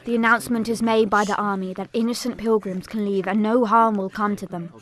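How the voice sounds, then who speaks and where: A woman's voice: medium pitch, lightly acted. A woman playing a young girl survivor, giving dramatised first-person narration of an attack.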